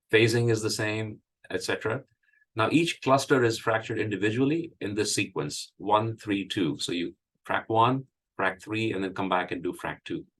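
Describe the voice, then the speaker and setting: A man with a cold, hoarse, giving a halting technical presentation with many fillers. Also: on the low side. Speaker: engineering presenter. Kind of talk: technical presentation at an online meeting.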